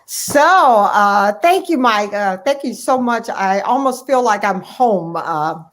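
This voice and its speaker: A woman's voice: mid-range voice, lively intonation, takes it slowly. A middle-aged American woman speaking as an interview guest, telling personal stories in a conversational way. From Cleveland, Ohio, United States. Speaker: interview guest, family physician.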